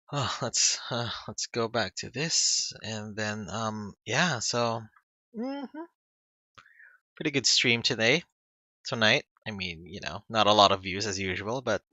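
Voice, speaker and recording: A man streaming a game, reading its first-person narration aloud in a calm, unhurried way with small slips and restarts. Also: mid-range voice, no echo, lo-fi sound. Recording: loud music behind the voice. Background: loud music.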